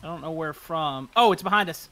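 Bright, high-pitched, animated male gamer. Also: fairly quick. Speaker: gamer in a multiplayer roleplay group. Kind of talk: casual gameplay chat.

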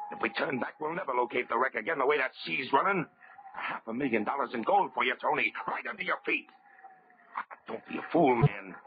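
An actor playing a desperate, cajoling ship's captain in a 1930s radio drama, urgent and insistent. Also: male voice, mid-range voice, vocal fry. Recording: muffled old radio recording, heavy noise.

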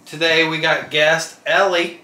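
A man's voice: on the high side, little pitch movement, a little slow, bright, forceful tone. An older man, a grandfather chatting casually as he coaches a child on a cooking vlog. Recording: roomy, echoey home-video sound.

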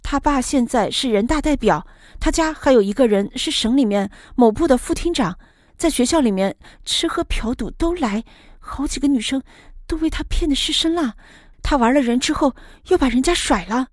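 Woman voicing a feisty, high-pitched schoolgirl. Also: animated, normal speed, no echo, clean studio sound. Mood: scornful. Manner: feisty, scornful. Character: bold, outspoken, fearless schoolgirl. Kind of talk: audiobook character dialogue.

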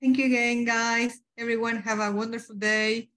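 Woman webinar host, friendly, a bit high-pitched. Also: level tone, normal speed, a bit bright. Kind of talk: hosting a webinar.

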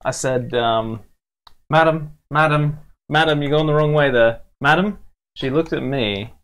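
A man's voice: fairly high pitch, a little slow, fairly bright tone. A man chatting casually and telling stories in a jokey, rambling way while gaming as co-host. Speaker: gamer co-hosting a let's play. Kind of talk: casual gaming banter and storytelling. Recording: background noise with controller clicks.

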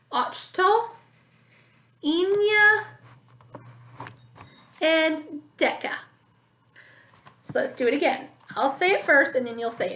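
A woman in her thirties teaching a music lesson to young children from home, speaking slowly and clearly and singing phrases for them to repeat, recorded on a laptop webcam. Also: high voice, fairly bright tone. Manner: slow, clear teacher talk, sings call-and-repeat phrases. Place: dining room at home, indoors. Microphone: webcam or laptop at arm's length.

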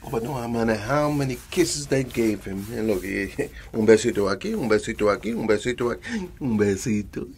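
Man playing a creaky-voiced, doting old grandpa. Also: mid-range voice, lively intonation, normal speed, darkish tone, slight background noise. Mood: storytelling, playful. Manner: affectionate, chatty asides, slipping into Spanish endearments. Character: doting bilingual old grandpa reading a bedtime story. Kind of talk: ASMR bedtime storytelling roleplay.

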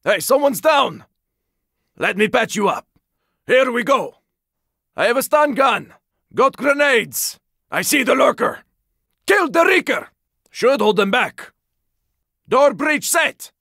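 An actor playing a gruff, battle-worn survivor in a zombie video game, giving terse, intense callouts in a gravelly, raspy voice. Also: male voice, extremely high pitch, big pitch swings, slow, long pauses, no room sound, clean studio sound, heavily acted. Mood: urgent, alarmed. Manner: terse, intense callouts, often strained or shouted. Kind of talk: video game combat voice lines.

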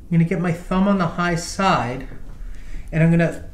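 A man's voice: fairly high pitch, normal speed. A middle-aged man working as a chiropractor, giving a patient short directions and explanations during hands-on treatment. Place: small indoor treatment room. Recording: echoey room with clear noise and clicks.

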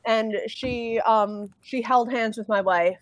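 A woman's voice: on the high side, steady tone, slow, low-quality recording. A woman voice actor chatting casually on a livestream. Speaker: amateur voice actor in a cast.

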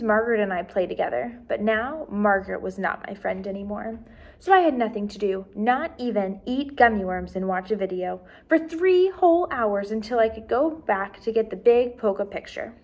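A young woman with a fairly high voice reads a storybook aloud as a teacher would for small children. Her narration is expressive and carefully enunciated, with emphatic phrasing. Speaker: first grade teacher reading aloud.

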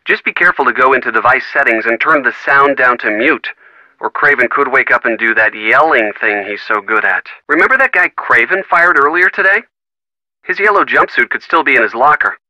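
A man's voice: medium pitch, brisk, bright, forceful tone, no echo, rough recording. An actor voicing a helpful, wry young man giving hints in a video game.

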